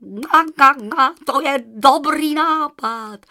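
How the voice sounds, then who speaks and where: A woman voicing a grateful, excitable storybook goose that breaks its sentences with stuttering honks. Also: high voice, voice pushed up. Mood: eager, generous. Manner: stuttering honks between words.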